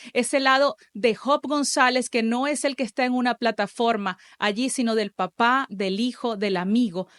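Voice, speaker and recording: Podcast host, woman, slightly high voice, brisk affirming interviewer. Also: a bit bright. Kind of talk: scripted introduction and conversational interview.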